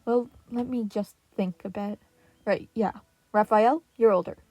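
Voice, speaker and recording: A woman voicing an exasperated older male scientist on the phone, speaking slowly and wearily with sighs. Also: mid-range voice, fairly even intonation, warm tone, no echo, natural voice. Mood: weary. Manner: slow, weary, sighing.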